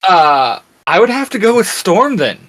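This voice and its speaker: A man's voice: sits high, big pitch swings, normal speed, fairly bright tone. A man chatting casually as a panelist in a geeky discussion.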